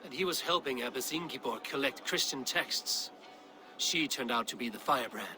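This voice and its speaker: A stern Viking warrior for a cinematic video game. He speaks tersely and decisively at a middle pitch, with a measured, commanding delivery. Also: sharp tone, a little hiss or hum. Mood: deliberate.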